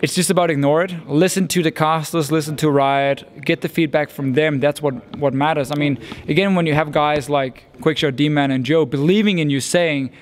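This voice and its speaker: A man's voice: on the high side, steady tone, normal speed, hardly any pauses. A young man with a Danish accent, an esports caster answering interview questions in an earnest, thoughtful way. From Denmark. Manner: earnest, thoughtful, with frequent restarts and fillers.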